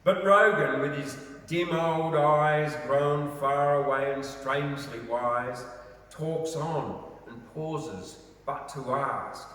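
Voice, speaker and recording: A man gives a theatrical, expressive dramatic recitation of poetry as a storyteller. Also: a little high, slow pace. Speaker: poetry reader. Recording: very echoey, reverberant, distant from mic.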